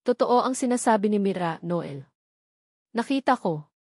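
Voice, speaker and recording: A woman narrator plays an earnest, supportive aunt in an audio drama. She recounts what she saw deliberately, in a mid-range voice with a dry, close sound. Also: medium pitch, expressive, a little mellow, no room sound. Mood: earnest, grave.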